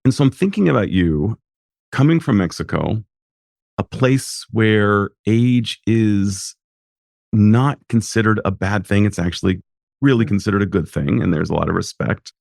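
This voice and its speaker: Gravelly, low-voiced podcast host. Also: male voice, dry recording, clean studio sound. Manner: warm, affirming, unhurried. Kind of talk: interview questions.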